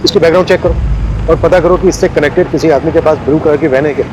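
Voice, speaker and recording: An actor playing a stern police investigator in a TV crime drama, giving clipped orders and questions over dramatic music. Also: male voice, fairly high pitch, racing, background noise, natural voice. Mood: commanding. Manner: clipped, commanding, interrogative. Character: brisk, stern male police investigator leading a case. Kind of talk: Hindi TV crime serial acting.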